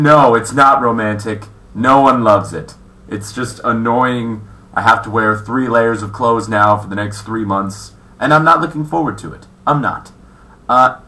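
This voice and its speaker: A man's voice: mid-range voice, sharp tone. A young man from California talking to camera in a rambling, casual way, full of fillers and restarts, recorded in his small apartment. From California, USA. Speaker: vlogger. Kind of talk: casual complaining monologue. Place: apartment in South Korea.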